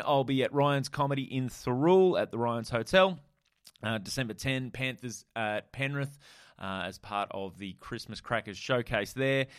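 A male comedian hosts his podcast in an easygoing, rambling way, with plenty of ums and uhs. His voice is mid-pitched and recorded close and dry. He banters loosely with his guest. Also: a bit bright, dry recording. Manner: laid-back, filler-heavy banter. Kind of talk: casual podcast chat. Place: motel room.

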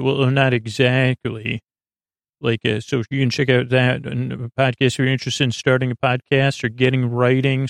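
A man hosting a bedtime podcast in a meandering, halting monologue that trails off into tangents. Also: medium pitch, vocal fry, no echo. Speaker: podcast host.

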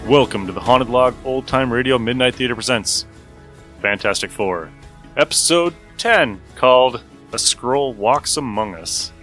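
A man's voice: mid-range voice, animated, measured pace, fairly bright tone, soft background music. A man hosting a podcast, giving a casual introduction. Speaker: podcast host. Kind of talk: casual scripted intro and chat.

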